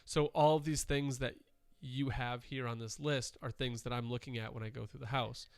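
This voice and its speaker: A man's voice: mid-range voice, steady tone, normal speed, vocal fry, no echo, studio quality. A man co-hosting a podcast, chatting casually and rambling with fillers, a little nasal from dust. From Wisconsin. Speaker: podcast co-host. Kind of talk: casual chat.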